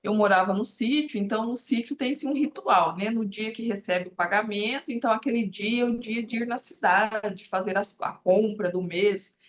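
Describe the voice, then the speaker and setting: A woman's voice: mid-range voice, normal speed, hardly any pauses. Earnest woman in her forties explaining. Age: 40s. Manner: earnest, explanatory, with many fillers. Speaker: therapist guest. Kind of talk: casual live-stream talk. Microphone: video call.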